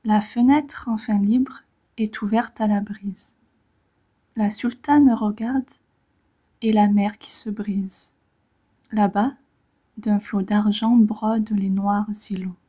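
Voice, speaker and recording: Woman reading poems real slow and soft, breathy almost whispery, mellow voice, little pauses between phrases. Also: medium pitch, steady tone. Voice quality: soft and breathy, often fading toward a whisper. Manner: gentle, measured delivery in short phrases with pauses. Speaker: poetry reader. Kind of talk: slow, soft poetry reading in a relaxing, near-ASMR manner.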